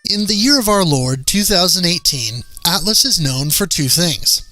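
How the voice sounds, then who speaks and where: Bright-voiced man narrating game reviews over music. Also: high voice, lively intonation, normal speed, low-quality recording. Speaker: video game review host. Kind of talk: scripted narration.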